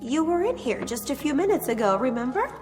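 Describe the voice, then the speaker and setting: A woman's voice: high voice, sing-song, fairly quick, a little mellow, faint music behind. An actress playing a kindly, folksy cleaning woman in a radio drama, plainspoken, motherly and reassuring. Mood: certain, matter-of-fact. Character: kindly, folksy female cleaning lady at bus station.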